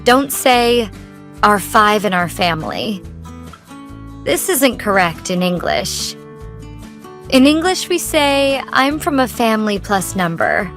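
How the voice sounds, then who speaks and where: A woman co-hosting a scripted English lesson, giving clear, instructive tips. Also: medium pitch, expressive, slow pace, pauses often. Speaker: co-host. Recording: soft guitar music behind.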